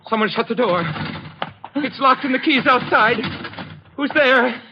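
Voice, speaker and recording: An actor playing a calm, murderous male organist in an old radio drama, speaking in a smooth, gloating, menacing way. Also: medium pitch, normal speed, background noise, lightly acted. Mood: panicked. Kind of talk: 1940s radio acting. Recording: dull old radio recording with music.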